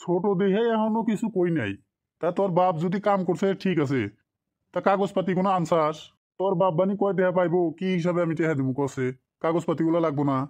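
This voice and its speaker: A man's voice: high voice, fast talker, a little mellow, lightly acted. A man voicing a stingy, scheming office boss in a cartoon drama, brusque and condescending, in a rural Bengali dialect. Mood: dismissive. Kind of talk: amateur cartoon drama dialogue.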